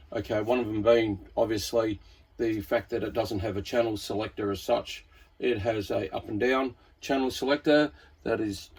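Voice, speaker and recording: Low, husky Australian man, casual walkthrough. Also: darkish tone. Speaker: radio hobbyist presenter.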